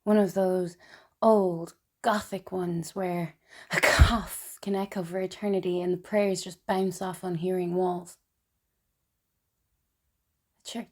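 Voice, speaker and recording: A woman acting a melancholy, wryly funny and tipsy character in an intimate, halting theatrical monologue. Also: fairly low pitch, flat delivery, darkish tone. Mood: wistful, tender. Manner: halting, intimate, dramatic pauses. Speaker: stage actor performing a solo monologue. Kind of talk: confessional theatrical monologue, spoken as a letter.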